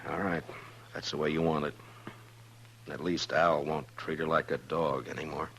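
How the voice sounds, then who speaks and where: Very deep-voiced stern lawman. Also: fairly even intonation, normal speed, fairly bright tone, slightly rough, exaggerated voice. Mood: weary, grave. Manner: terse, authoritative. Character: stern, weary, steady frontier lawman. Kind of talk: 1950s radio western acting. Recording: noisy vintage 1950s radio recording.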